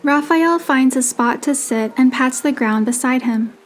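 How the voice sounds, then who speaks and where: A woman voicing a gentle young heroine, with measured first-person narration and dialogue from a romance story. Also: high voice. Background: background music with guitar.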